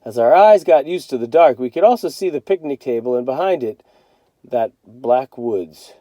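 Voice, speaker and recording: A man reading a children's novel aloud as a teacher, in an expressive storytelling style. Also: medium pitch, normal speed, dry recording.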